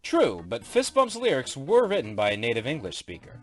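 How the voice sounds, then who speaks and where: A man explains points in a matter-of-fact way, and his pitch rises and falls with lively swings. Faint music plays behind him. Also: a little fast. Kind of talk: casual spoken commentary.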